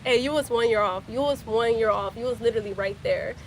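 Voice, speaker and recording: A woman's voice: on the high side, fast talker, slight background noise. Chatty young woman on a phone. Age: 20s. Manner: chatty, repeats phrases, slangy. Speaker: livestreamer. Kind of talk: casual live chat with viewers.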